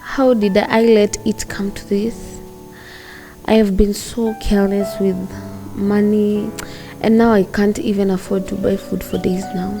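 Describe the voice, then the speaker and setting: Woman acting a troubled young student, creaky and mellow. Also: mid-range voice, flat delivery, measured pace, faint music behind, natural voice, rough recording. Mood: despairing. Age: young adult. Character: regretful, stubborn young female student in hospital. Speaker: actor in a radio drama. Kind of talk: radio drama acting.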